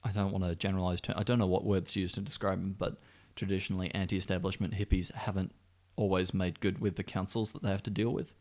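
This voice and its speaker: A man's voice: deep voice, flat delivery, fast talker, hardly any pauses, a little mellow. A man co-hosting a podcast in casual chat, with a sweary, wry and joking manner. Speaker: podcast co-host.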